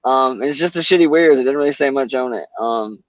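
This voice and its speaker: A man's voice: mid-range voice, a little fast, no echo, lo-fi sound. A man in his early forties on a livestream panel, rambling and self-mocking, with plenty of swearing. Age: 40s. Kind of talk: casual chat.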